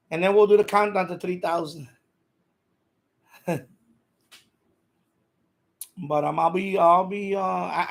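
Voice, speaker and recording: A middle-aged man hosting a livestream in casual, rambling chat, halting often and repeating himself informally. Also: high-pitched, gravelly. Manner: halting, repetitive, informal speech. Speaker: livestream host.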